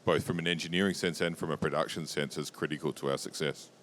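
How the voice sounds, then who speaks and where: A man's voice: steady tone, fast, a bit bright. An Australian man answering as a company executive in a business interview, matter-of-fact and explanatory. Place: interview lounge at a trade show. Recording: low rumbling background noise.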